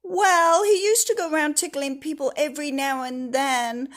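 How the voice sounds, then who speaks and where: A woman's voice: high-pitched, moderate pace, fairly bright tone, pitched above the performer's own voice. A woman voicing an earnest, friendly male storybook character who complains emphatically. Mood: exasperated.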